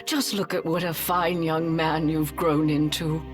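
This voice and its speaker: A woman's voice: sits low, flat delivery, a little slow. A woman playing a gracious, devoted elderly royal retainer in a video game, speaking in a formal, old-fashioned, reverent way. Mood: awed. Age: older. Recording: game music behind the voice.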